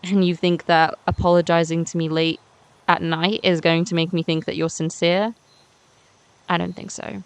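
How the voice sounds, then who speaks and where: A woman's voice: on the low side, little pitch movement, normal speed, smooth, no echo, close to an everyday voice. A young woman playing a hurt, unforgiving teenage schoolgirl in an amateur voiced game series, speaking in an emphatic conversational way. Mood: hurt, accusing.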